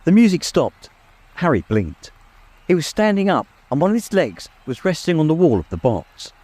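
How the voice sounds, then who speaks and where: A man reading a story aloud as dramatic scripted narration, expressive and suspenseful. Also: a little high, normal speed. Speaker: audiobook reader. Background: music, immersive production.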